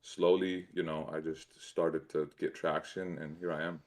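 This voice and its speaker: A man's voice: a bass voice, little pitch movement, unhurried. A man telling his personal story as a guest in a casual chat, with informal, slangy talk. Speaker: e-commerce entrepreneur, guest.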